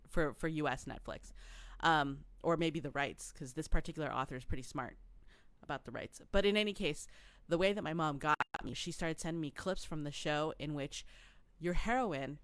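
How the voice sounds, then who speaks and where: Low-voiced woman, chatty podcast co-host. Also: dry recording. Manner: chatty, animated storytelling with many fillers. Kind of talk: casual chat.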